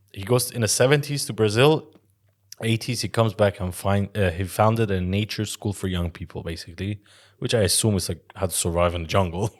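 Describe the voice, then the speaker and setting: A man co-hosting a podcast, casually telling stories with frequent swearing and laughter. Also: deep voice. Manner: rambling storyteller, swears, laughs often. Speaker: podcast co-host.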